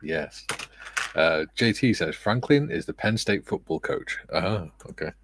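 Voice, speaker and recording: A middle-aged man hosting a relaxed livestream, reading viewer comments aloud with a soft, soothing, self-deprecating manner and frequent laughs. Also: sits low, measured pace, slight background noise. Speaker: livestream host.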